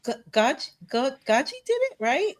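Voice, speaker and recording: A woman with a higher, lively voice that swoops around co-hosts a podcast. She is expressive and laughs easily in conversation. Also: normal speed. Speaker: podcast co-host. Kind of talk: casual chat.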